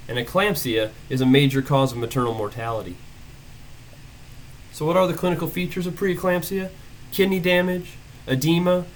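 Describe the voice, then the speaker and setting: A young adult man with a head cold presents an emergency medicine case and teaches it step by step, listing findings briskly. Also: a little high, a little hiss or hum, rough recording. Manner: brisk, methodical, listing findings in short phrases.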